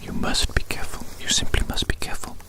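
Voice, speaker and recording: A person whispering softly in an ASMR roleplay, gently reassuring and slowly repeating phrases. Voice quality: soft unvoiced whisper. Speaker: ASMR roleplayer measuring the listener.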